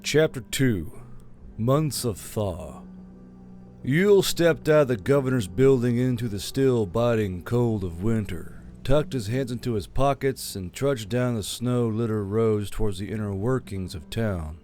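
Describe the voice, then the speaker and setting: Male audiobook narrator, medium pitch, scripted fantasy storytelling. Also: expressive, measured pace, a little mellow, soft background music. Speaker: audiobook narrator, channel host.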